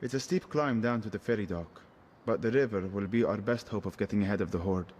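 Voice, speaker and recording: An actor playing a wry, quietly poetic shepherd who guides a traveller in an audio adventure game, measured and understated. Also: male voice, fairly low pitch, in a large hall, close to an everyday voice. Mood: watchful. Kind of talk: video game voice acting. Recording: faint music behind the voice.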